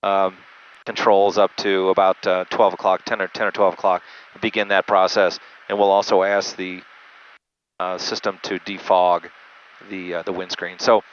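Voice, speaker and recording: A man who pilots a jet, giving an unscripted, methodical instructional walkthrough with halting speech over a cockpit headset intercom. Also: sits low, little pitch movement. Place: small jet cockpit in flight. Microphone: aviation headset through intercom. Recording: muffled band-limited cockpit headset audio, engine noise.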